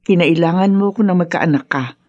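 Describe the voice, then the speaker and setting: A woman playing a caring, patient older mother and grandmother with a husky voice in a Filipino radio drama. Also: fairly low pitch, a little fast, darkish tone, dry recording, lo-fi sound, lightly acted. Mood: frank, resigned. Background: background music.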